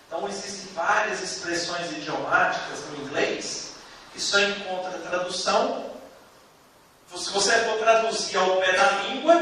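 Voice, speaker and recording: A Brazilian man gives a classroom lecture in a high, bright, forceful voice. He explains didactically, breaking his points into short phrases with emphatic pauses. The sound is heavily echoed, as if picked up across the room. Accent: Brazilian Portuguese. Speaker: theology teacher. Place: classroom, indoors. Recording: echoey room, distant mic, faint noise.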